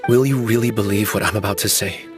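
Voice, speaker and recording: An actor playing a bitter, cunning novelist turned killer in a video game, with measured, wry, theatrical delivery. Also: male voice, fairly low pitch, over music, lightly acted. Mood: sly, composed. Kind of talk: dramatic scripted game dialogue.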